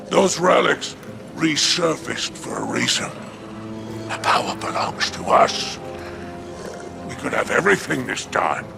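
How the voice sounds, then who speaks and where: A man playing a scheming, wily alien crime baron in a video game cutscene, gravelly, drawling and insinuating, with theatrical flair. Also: medium pitch, heavily acted. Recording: loud music behind the voice.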